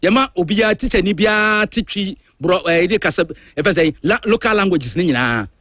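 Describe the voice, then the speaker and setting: A Ghanaian man hosts a drive-time radio show, his voice pitched high for a man. He argues his points with emphatic, animated energy, moving freely between languages. The studio sound is dry and close, with no room around it. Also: brisk, no echo. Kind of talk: opinionated talk-radio monologue.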